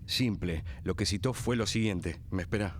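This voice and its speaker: Man acting a lowish-voiced, deferential Argentine policeman. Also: moderate pace, slight background noise, natural voice. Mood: deferential reporting. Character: subordinate policeman, dutiful, apologetic, plodding reporter. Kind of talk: radio drama acting.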